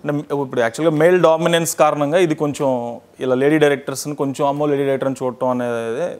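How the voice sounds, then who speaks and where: A man's voice: on the high side, steady tone, rapid-fire, darkish tone. A man with a Telugu Indian accent asking questions as the interviewer in a conversational film interview.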